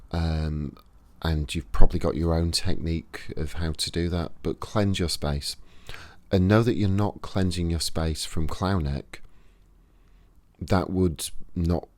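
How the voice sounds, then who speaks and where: A man with a Yorkshire accent, giving a casual, unhurried spoken lesson to camera with pauses and fillers. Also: sits low, a little mellow. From Yorkshire, England. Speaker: esoteric practitioner and channel host. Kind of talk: casual instructional talk to camera.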